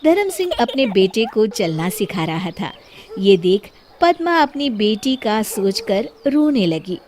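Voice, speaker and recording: A woman's voice: medium pitch, sing-song, a little slow, a little mellow, a little hiss or hum. A woman reading a scripted children's story as its narrator.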